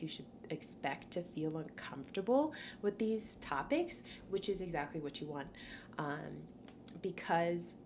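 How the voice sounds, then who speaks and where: A woman in her twenties, from Cameroon, hosting a solo podcast in a chatty, upbeat way, laughing often and filling pauses with ums. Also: deep voice, a little hiss or hum. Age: 20s. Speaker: podcast host.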